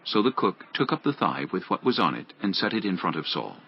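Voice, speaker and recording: A man's voice: low voice, sing-song, fairly quick, breathy edge. A man reading scripted dramatic narration for an audio Bible. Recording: dull lo-fi audio with music. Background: background music.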